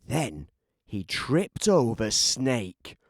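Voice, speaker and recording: A man narrating a children's picture book as an animated bedtime read-aloud. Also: medium pitch, very slow, creaky, dry recording, clean studio sound. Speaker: storybook narrator.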